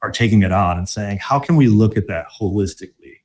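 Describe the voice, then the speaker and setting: A man from Michigan speaking as a guest in a casual interview, relaxed and thoughtful, with many fillers and restarts. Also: on the low side, brisk. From Michigan, USA. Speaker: guest, corporate mobility director.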